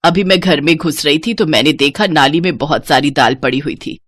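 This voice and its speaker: A woman's voice: sits low, brisk, fairly bright tone, breathy edge, pitched below the performer's own voice. A woman voicing a patient but scolding older mother-in-law who lectures about waste.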